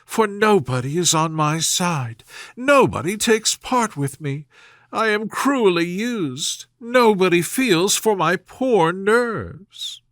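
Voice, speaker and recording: A man's voice: high-pitched, lively intonation, takes it slowly, fairly bright tone, dry recording, pitched above the performer's own voice, heavily acted. A man voicing a melodramatic middle-aged mother who complains and scolds in a plaintive, querulous way, as in a period storybook. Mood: melancholy.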